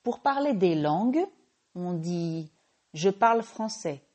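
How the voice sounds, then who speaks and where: Slow, mellow-voiced French teacher woman. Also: fairly low pitch, expressive, dull audio. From France. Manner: patient, with small self-corrections. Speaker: French teacher and podcast host. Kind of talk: slow, clear language-lesson podcast.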